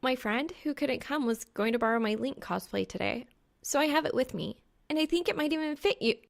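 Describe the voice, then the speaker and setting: A woman voicing a brash, excitable young female gamer and cosplayer with a cheeky, bubbly manner, in an audiobook style. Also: fairly quick, gravelly. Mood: cheeky, upbeat. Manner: screeching and squeaking outbursts, bubbly.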